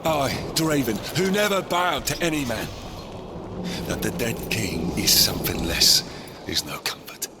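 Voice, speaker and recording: Man voicing a slow, proud undead warrior. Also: medium pitch, expressive, background noise, natural voice. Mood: bitter, proud. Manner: dramatic, brooding. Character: proud, bitter undead male warrior, battle-worn. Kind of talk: video game cutscene acting. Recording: loud cinematic music behind.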